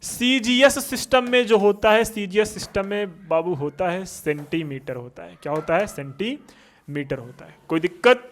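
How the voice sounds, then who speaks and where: A man's voice: high voice, moderate pace, slight background noise. A male teacher giving a physics lesson for exam students, asking questions and answering them himself.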